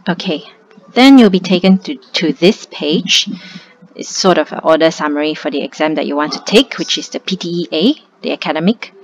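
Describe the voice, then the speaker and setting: A young woman, tutoring in a relaxed, conversational step-by-step screen walkthrough. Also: low voice, moderate pace. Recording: slightly muffled with faint hiss.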